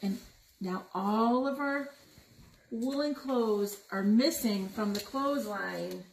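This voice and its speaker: A woman's voice: a little high, takes it slowly, creaky, darkish tone. A woman doing a picture book read-aloud for young children, asking her listeners questions and exclaiming over the pictures. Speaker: story time reader. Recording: roomy echo with noticeable background noise.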